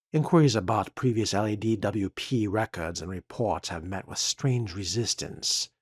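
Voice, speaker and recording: A man acts out a skeptical city official of the 1970s, dictating a log in a game. The voice is husky and closely recorded, with a formal, measured delivery that treats each entry as a dramatic reading. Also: on the low side, no room sound, clean studio sound.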